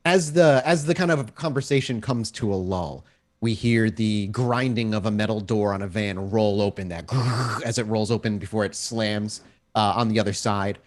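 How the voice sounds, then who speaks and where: A man acting as storyteller, improvising descriptive narration for a tabletop roleplaying game in a halting way. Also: on the low side.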